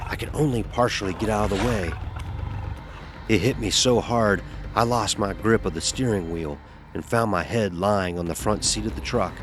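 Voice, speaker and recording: A man narrating a first-person horror story in a tense, suspenseful, dramatic style. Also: on the low side. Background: music.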